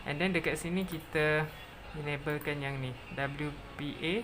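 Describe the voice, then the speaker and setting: A presenter with a Malaysian accent narrating a step-by-step software tutorial, mixing in English technical terms. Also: medium pitch, steady tone, moderate pace, background noise.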